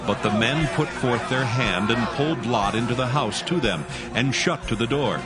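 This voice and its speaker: Man narrating scripture over music. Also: medium pitch. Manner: measured, solemn reading.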